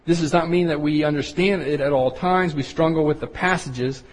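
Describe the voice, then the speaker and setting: Husky man teaching in a reverberant hall. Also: on the high side, fairly even intonation, moderate pace. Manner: earnest, explanatory, building lists of points. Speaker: Bible teacher. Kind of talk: Bible study sermon.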